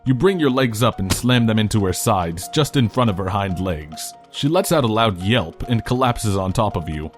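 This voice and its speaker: Casting: male story narrator, low register, casual and expressive, light music underneath. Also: moderate pace, few pauses. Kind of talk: second-person fan fiction narration.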